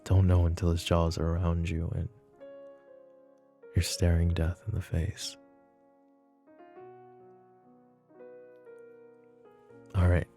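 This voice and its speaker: Man playing a deep, gravelly, wry mafia boss. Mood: grim, brooding. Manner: sardonic, soft-spoken, slow pauses, swearing and sighs. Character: flirty, possessive, wry male mafia boss. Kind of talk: intimate ASMR roleplay spoken to the listener.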